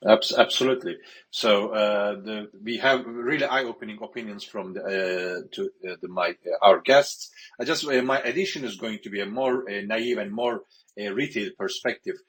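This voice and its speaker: A man from Turkey, moderating an online panel with halting speech full of filler sounds. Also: fairly low pitch, a bit bright. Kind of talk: online audio panel chat.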